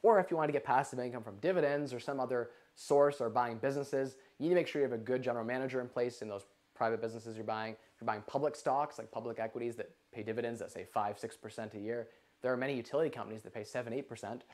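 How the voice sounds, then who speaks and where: A man in his twenties hosting a live investing question-and-answer stream from a living room, energetic and gesturing, with lots of "like". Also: medium pitch, fast. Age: 20s. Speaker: livestream host. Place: living room with a couch, indoors.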